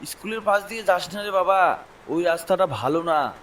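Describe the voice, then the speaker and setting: A man voices an older village shopkeeper in a horror cartoon. His fairly high voice is fatherly yet full of mysterious warning. He sounds slightly off the mic with a little noise around him. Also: expressive, normal speed, fairly bright tone, distant mic. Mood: worried, cautioning. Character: older village tea-stall keeper, uneasy storyteller.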